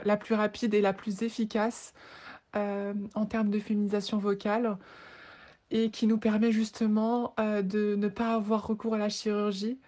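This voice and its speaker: A woman in her twenties speaks in an informal testimonial style. Her voice is mid-pitched and mellow, with fairly flat melody. She phrases things earnestly, with some hesitation. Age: 20s. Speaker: voice therapy client giving a testimonial.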